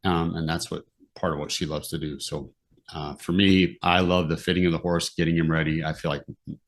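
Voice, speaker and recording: Very deep, gravelly American man. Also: level tone, a little fast. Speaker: podcast guest, amateur horse owner. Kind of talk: casual podcast chat, giving advice.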